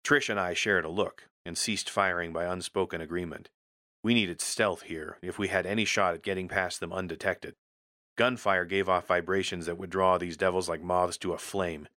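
A man with a very deep, forceful voice narrates scripted first-person fiction. He tells it tersely, like a gritty soldier. The sound is close and dry. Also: fairly even intonation, moderate pace, dry recording. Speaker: story narrator.

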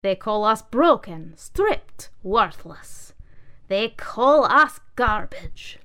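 Storybook casting: woman doing a loud, pompous talking book orator, slow and bright. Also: mid-range voice, big pitch swings, pitched above the performer's own voice, heavily acted. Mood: rousing. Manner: orating, declaiming. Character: pompous male book manifesto, rabble-rouser.

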